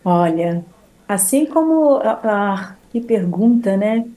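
Brazilian lady singer chatting, mellow dark voice, rambly, lots of "né". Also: medium pitch, animated, takes it slowly. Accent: Brazilian Portuguese. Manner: warm, rambling, with restarts and frequent "né?". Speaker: singer and choir leader. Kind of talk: casual interview talk.

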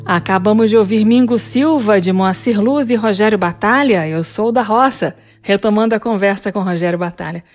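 A woman hosting a radio program, introducing songs and guests in a measured way. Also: mid-range voice, darkish tone, faint music behind.

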